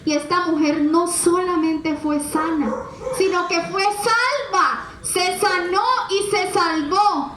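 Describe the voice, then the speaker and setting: A woman's voice: very high voice, fairly bright tone, reverberant, a little hiss or hum, rough recording. A woman delivering an earnest, emphatic evangelical sermon straight to the listener, with rhetorical questions and repeated phrases. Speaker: preacher.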